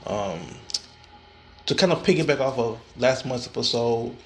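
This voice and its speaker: A man's voice: medium pitch, expressive, a little slow, a little hiss or hum. A man hosting his own podcast, talking casually and alone, with many restarts and repeated words. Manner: frequent fillers and restarts, stammering repetitions. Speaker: podcast host. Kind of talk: casual solo podcast talk.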